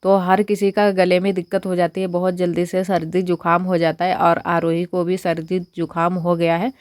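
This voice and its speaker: A young woman with a hoarse, husky voice from a cold, chatting casually as she walks through her cooking in a village home kitchen. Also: lowish voice, flat delivery, normal speed, a little mellow, no room sound, clean studio sound. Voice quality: husky, strained voice. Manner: chatty, homely step-by-step narration. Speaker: village vlogger. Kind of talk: casual cooking vlog narration.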